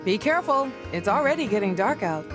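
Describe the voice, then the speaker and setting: A woman's voice: a little high, very animated, a little fast, over music. A woman playing an easygoing, indulgent middle-aged royal mother in a video game cutscene, breezy and airy. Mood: breezy, fond.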